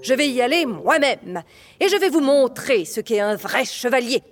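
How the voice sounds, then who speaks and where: A woman voicing an indignant, blustering little king who shouts his words. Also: sits high, lively intonation, normal speed, voice pushed up. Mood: determined.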